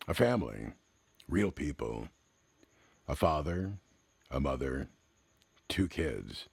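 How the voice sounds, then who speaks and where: A man with an extremely low, gravelly voice tells a story in slow, measured phrases. His delivery is somber and cinematic, rising and falling widely between dramatic pauses, recorded close and dry. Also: big pitch swings, no room sound. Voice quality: very deep, gravelly, husky. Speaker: storyteller, narrator. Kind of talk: slow cinematic scripted narration, classic radio drama style.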